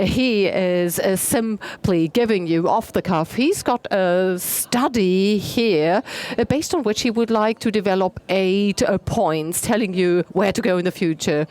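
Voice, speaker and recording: A woman's voice: mid-range voice, darkish tone. A woman introducing the next speaker at a conference, in a chatty way with hesitation fillers.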